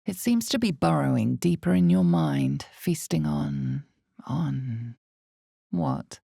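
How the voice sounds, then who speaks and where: A woman voicing the omniscient narrator of a dark fantasy game, grave and ominous, with measured delivery and dramatic pauses. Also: lively intonation, no echo, studio quality, full character voice. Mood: grave, foreboding. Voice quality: creaky, low, mellow. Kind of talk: dramatic scripted second-person fantasy narration.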